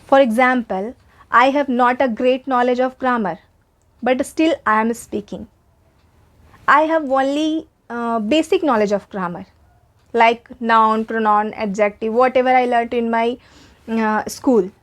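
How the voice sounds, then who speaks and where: A woman's voice: a little high, measured pace. A young woman with an Indian English accent whose first language is Hindi. She gives an earnest, encouraging spoken English lesson and repeats her points for emphasis. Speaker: English teacher.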